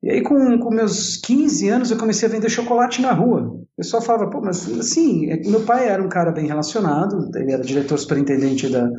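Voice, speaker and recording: A man in his forties with a São Paulo accent speaks in a slightly high, mellow voice. He chats informally as an interview guest, looping back to rephrase as he recounts his life. The sound is roomy and a little dull. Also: brisk, few pauses. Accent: São Paulo Brazilian. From Italy and São Paulo, Brazil. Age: 40s. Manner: talkative, informal, repeats and rephrases himself. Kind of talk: casual autobiographical storytelling.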